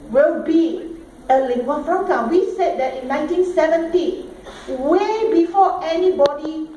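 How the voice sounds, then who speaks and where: A middle-aged Singaporean woman speaks to a conference audience in a mellow, fairly high voice, driving her points home with rhetorical questions. She is heard from a distance in an echoey hall with a faint hiss underneath. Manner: emphatic, rhetorical questions, repeats "all right?" and "okay?". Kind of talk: conference talk.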